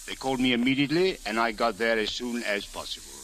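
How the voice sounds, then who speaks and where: An actor playing a courteous, philosophical police chief who speaks in formal, measured phrases in an old radio drama. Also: male voice, medium pitch, fairly bright tone, natural voice, low-quality recording. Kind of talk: 1940s-50s radio drama acting. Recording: hissy old radio recording.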